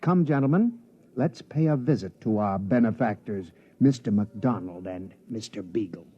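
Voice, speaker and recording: A formal, suspicious gentleman miner, stiffly polite and precise, with broad swings in pitch. It sounds like 1950s radio drama on a muffled recording. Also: lively intonation, normal speed, breathy edge. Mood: coolly suspicious.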